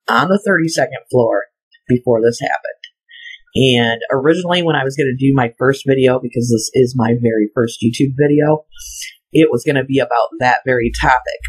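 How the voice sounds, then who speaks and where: An amateur video commentator with a husky voice, talking casually in a skeptical, emphatic way. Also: medium pitch, poor audio. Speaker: video narrator and analyst.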